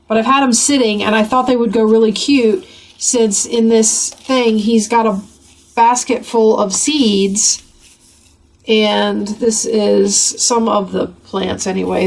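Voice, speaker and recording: A woman with a gravelly voice from a cold, chatting casually as she flips through a craft journal. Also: medium pitch. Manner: chatty, rambling, with many fillers. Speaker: craft channel host.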